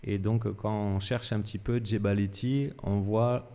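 A male radio host with a fairly low, mellow voice interviews a guest live at a cultural centre. He halts often, filling gaps with hesitations and restarting phrases. The sound carries a long hall reverb. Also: steady tone, measured pace. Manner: hesitant, many fillers and restarts.

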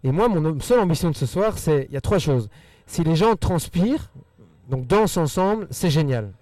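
A man with a Belgian French accent whose first language is Spanish, earnestly answering interview questions in quick rushes with repeated words. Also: fairly high pitch, expressive, mellow, dry recording, distant mic. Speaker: musician guest.

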